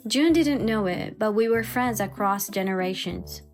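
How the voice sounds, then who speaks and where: A woman playing a wary, hurt young wife who narrates her troubles in an expressive manga dub style. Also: mid-range voice, moderate pace, lightly acted. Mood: surprised, relieved. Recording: music behind the voice.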